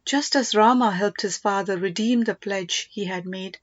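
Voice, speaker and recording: A woman voicing a gentle, devout queen mother in a storybook, measured and solemn. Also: medium pitch, muffled recording, lightly acted. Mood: solemn pleading.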